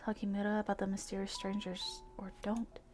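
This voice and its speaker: A woman livestreaming visual novels, switching between excitable gushing reactions and expressive read-aloud narration. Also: medium pitch, fairly quick. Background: game music.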